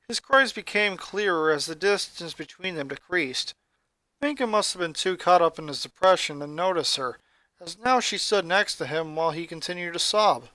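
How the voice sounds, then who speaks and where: A man reading a story aloud as scripted narration, with dramatic, expressive delivery. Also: sits high, no room sound. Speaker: narrator, fan fiction reader.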